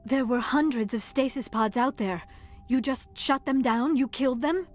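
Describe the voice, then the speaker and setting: A woman's voice: sits high, moderate pace, close to an everyday voice. An actress playing an earnest, outspoken female squad member in a sci-fi video game. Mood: shocked, accusing. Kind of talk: scripted video game dialogue.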